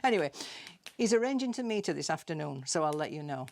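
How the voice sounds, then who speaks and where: A woman's voice: low-pitched, very animated, quick pace, vocal fry, darkish tone. A British woman reading a scripted comic monologue in a dry, chatty, confiding manner, like gossip. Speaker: storyteller reading own comic tales.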